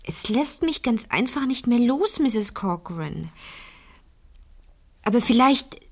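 A woman's voice: on the high side, lively intonation, slow, husky, full character voice. A woman playing an insistent, inquisitive fifteen-year-old girl in a German radio crime drama, with an intense, pressing delivery. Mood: pleading, insistent.

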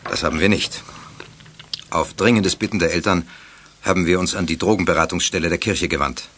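A man's voice: low-pitched, fairly quick, a bit bright, a little hiss or hum. An actor playing a stern, commanding school principal and father in a children's audio drama. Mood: grave, admonishing. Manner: strict, commanding. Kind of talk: 1980s German children's radio play acting.

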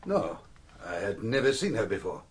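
An actor playing a reserved, polite scholarly priest in a radio drama, speaking carefully and formally with some hesitation. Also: male voice, on the low side, big pitch swings, moderate pace, slightly rough, exaggerated voice. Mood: polite, guarded.

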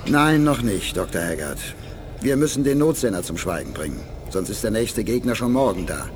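A man's voice: on the low side, very animated, normal speed, background noise. An actor playing a tough male spaceship gunner in a 1980s sci-fi radio play, blunt and terse. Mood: sober.

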